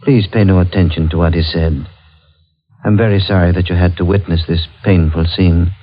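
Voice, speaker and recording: An actor playing a coolly polite, sinister blind man in a 1940s radio thriller, speaking formally and with measured politeness. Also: low voice, steady tone, dry recording. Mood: smoothly polite. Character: courteous, sinister blind brother ruling an isolated house. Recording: dull old 1940s radio recording.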